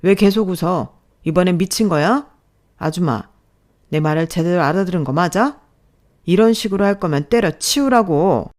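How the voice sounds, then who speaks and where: A woman's voice: on the low side, lively intonation, normal speed, darkish tone, close to an everyday voice. A woman voicing a harsh office manager who insults and berates his staff, with a scolding, sneering manner. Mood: contemptuous scolding.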